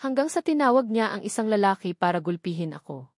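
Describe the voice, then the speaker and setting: A woman narrates a dramatic novel in scripted storytelling style. Her voice sits at a medium pitch, recorded very dry with no room sound around it. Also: big pitch swings, fast talker, no echo. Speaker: audiobook narrator.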